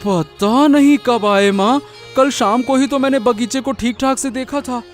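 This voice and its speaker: A man's voice: extremely high pitch, a little slow, faint music behind. A man voicing a humble, naive, dejected young vegetable seller in a moral-story cartoon. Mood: puzzled, worried.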